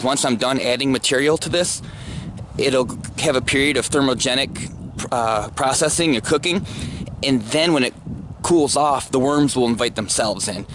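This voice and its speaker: An American man giving casual, halting commentary as he thinks aloud, recorded outdoors on a handheld camera. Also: mid-range voice, fairly bright tone. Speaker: gardening vlogger. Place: outdoors in a snowy fenced backyard. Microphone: handheld camera at arm's length. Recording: clear outdoor background noise.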